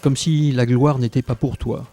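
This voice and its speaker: A man's voice: mid-range voice, fairly even intonation, normal speed, mellow, natural voice. A man playing an elderly former Resistance fighter, narrating his memories tenderly and reflectively in a radio drama. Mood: tender, nostalgic.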